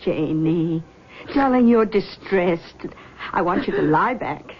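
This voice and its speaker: A woman playing a doting, controlling middle-aged mother in a 1970s radio drama, with a husky voice and a coaxing manner. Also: fairly low pitch, animated, slow, a little hiss or hum. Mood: tender. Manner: coaxing, cooing endearments. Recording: dull, lo-fi 1970s radio recording.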